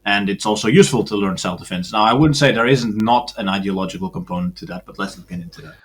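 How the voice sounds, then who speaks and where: A man from Belgium speaks in a fairly low voice as a podcast guest. His delivery is matter-of-fact, and he slips in sardonic asides. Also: quick pace. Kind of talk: casual podcast conversation.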